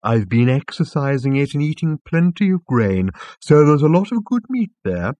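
A man voicing a large, fat, obliging talking cow in an audiobook, with a contented, deferential, lowing delivery. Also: big pitch swings, moderate pace, a little mellow, dry recording. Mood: contented, inviting. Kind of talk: audiobook comic science fiction character.

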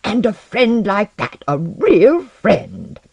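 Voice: medium pitch, very animated, slow pace, dark tone, breathy edge, no room sound, lo-fi sound, exaggerated voice. A narrator voicing an emotional, courteous and intense medieval French alchemist, with a grave and impassioned manner. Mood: sorrowful.

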